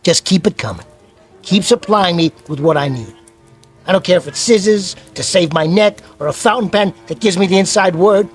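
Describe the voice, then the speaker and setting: A greedy, bitter petty criminal in a vintage-style radio thriller. His voice is pitched high for a man, with streetwise, menacing tough talk. He pushes impatiently, over faint music. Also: expressive, normal speed. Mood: bitter, demanding. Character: bitter, greedy, menacing small-time male crook.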